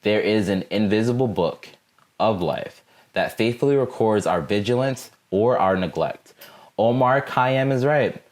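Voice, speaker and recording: A man giving a solemn, measured dramatic reading of a speech in an oratorical style. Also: on the low side, lively intonation. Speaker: reader in a student theatre performance.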